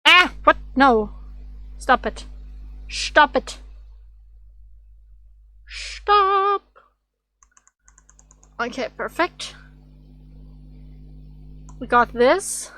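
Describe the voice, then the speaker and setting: A woman streamer with a slightly raspy voice, rambling and thinking aloud as she draws on a casual livestream. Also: high voice, expressive, drawn-out words, long pauses, sharp tone, dry recording. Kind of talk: casual drawing livestream chat.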